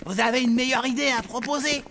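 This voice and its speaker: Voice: high-pitched, fairly even intonation, fast, gravelly, noisy background. An actor voicing a sly, mocking, cackling schemer who drawls in a French children's cartoon. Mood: sneering. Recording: loud music behind the voice.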